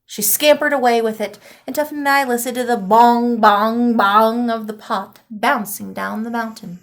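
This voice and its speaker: A woman reading a picture book aloud expressively, with deliberate dramatic emphasis and pauses. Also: on the high side, steady tone.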